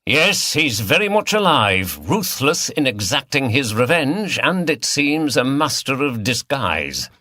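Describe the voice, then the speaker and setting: A man voicing an authoritative, brisk police detective in charge in a mystery audiobook. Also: mid-range voice, big pitch swings, sharp tone, dry recording, studio quality, voice pushed up. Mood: grave, explaining. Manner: authoritative, explanatory.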